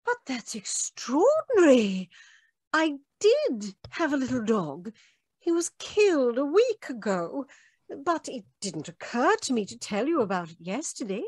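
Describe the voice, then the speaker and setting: A woman playing a polite, anxious young gentlewoman in a radio mystery, speaking in a measured, hesitant way. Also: sits high, big pitch swings, breathy edge, no echo, exaggerated voice. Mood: troubled. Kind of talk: old-time radio mystery acting.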